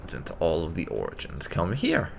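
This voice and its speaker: A man narrating a video game playthrough for his channel in a relaxed, explanatory way. He has a low, gravelly voice with a lively rise and fall, and soft music plays underneath. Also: moderate pace. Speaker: gaming channel host. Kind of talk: casual game commentary.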